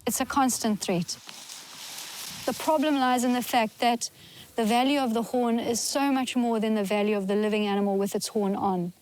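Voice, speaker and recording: A woman's voice: on the high side, fairly even intonation, moderate pace, darkish tone. A woman ecologist speaking in an earnest, articulate documentary interview. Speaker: wildlife ecologist. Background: music.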